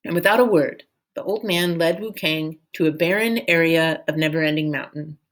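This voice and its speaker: A woman narrating a storybook as an expressive read-aloud. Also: low-pitched, moderate pace. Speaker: storyteller reading a book aloud.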